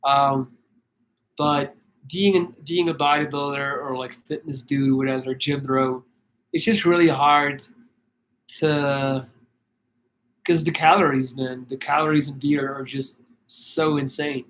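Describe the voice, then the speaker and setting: A man's voice: mid-range voice, steady tone, measured pace, a bit bright, low-quality recording. A man from Belgium chatting casually as a stream host, rambling with many restarts and fillers while answering viewer questions. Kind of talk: casual chat reading comments.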